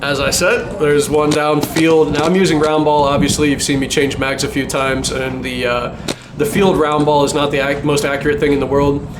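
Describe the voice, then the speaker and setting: A man's voice: a little high, flat delivery, normal speed, noisy background. A man giving casual voice-over commentary on his own paintball game footage, chatty and self-deprecating, with small chuckles.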